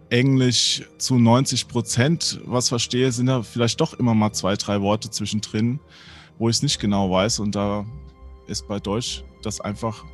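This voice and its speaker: A man answering a survey question, laying out his reasons at length. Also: lowish voice. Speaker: interviewee.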